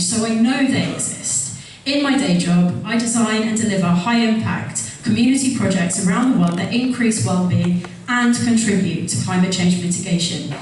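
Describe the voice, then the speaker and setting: A woman giving an earnest prepared campaign speech at a public hustings, listing her points in a measured rhythm. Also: medium pitch, darkish tone. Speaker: election candidate. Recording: echoey hall from far off with faint noise.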